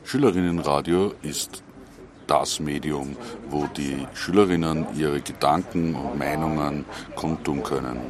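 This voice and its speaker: A man's voice: rumbling low, fairly even intonation, a little slow. A man, a media educator, giving a short interview statement. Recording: crowd murmur behind.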